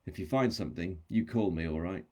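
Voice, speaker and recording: A gruff, protective British policeman in a novel reading, acted by a man. His voice is very low and husky, his manner blunt and cautioning. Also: fairly quick, dark tone. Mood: firm, cautioning. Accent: British English. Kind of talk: audiobook-style novel reading.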